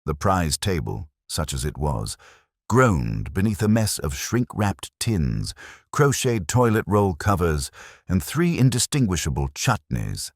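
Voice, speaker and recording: A man reading a scripted mystery story as narrator, in a wry, measured, literary manner. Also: low voice, sing-song, no room sound, studio quality.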